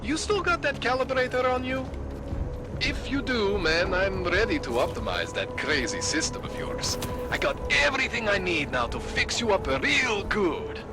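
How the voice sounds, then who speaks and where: An excitable male tinkerer, talking up his skills in a bright, high voice that leaps all over the place. Loud game music swells behind him. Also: normal speed. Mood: eager. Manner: excitable, reassuring, slangy. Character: eager male tech-mechanic, fast-talking tinkerer. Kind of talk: video game dialogue.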